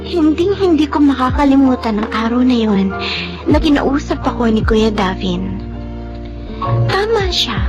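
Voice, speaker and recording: A woman with a Filipino accent acting a sensitive adopted younger sister in a radio drama, with a soft, wistful delivery. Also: high-pitched, expressive, a little slow, pauses often, highs cut off. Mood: reflective, grateful. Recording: dramatic music under the voice.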